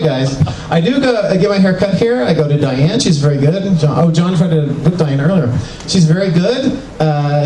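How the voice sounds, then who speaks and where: An older man doing a self-mocking, animated stand-up comedy set in a small venue. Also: high voice. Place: small venue with live audience. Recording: echoey, distant club recording with crowd.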